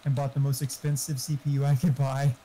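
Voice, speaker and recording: A man's voice: mid-range voice, flat delivery, a little fast, dark tone, breathy edge. A man chatting casually over an online game, talkative and profane, explaining tech. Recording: faint background noise.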